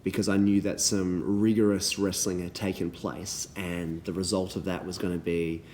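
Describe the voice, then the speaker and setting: A man, a little hoarse from losing his voice, giving earnest thank-you messages to youth leaders with many ums and restarts. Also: low voice, flat delivery, moderate pace, a little mellow, slight background noise.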